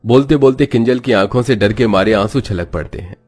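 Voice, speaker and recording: Low-voiced male story narrator. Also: a little fast, a little mellow, slightly rough.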